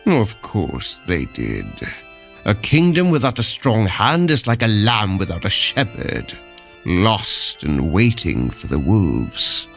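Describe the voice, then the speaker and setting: Arrogant fantasy tyrant king, very slow, gravelly, imperious, wildly swooping intonation, audio drama. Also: lowish voice, huge pitch swings, a bit bright, heavily acted. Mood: sinister, pleased. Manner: imperious, drawn-out delivery. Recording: music behind.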